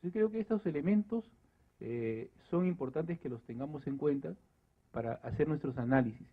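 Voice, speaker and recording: Husky man on a narrow, muffled recording. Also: fairly high pitch, animated, moderate pace. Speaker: debate panelist. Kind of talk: spontaneous analytical commentary at a debate. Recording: narrow, phone-like debate recording.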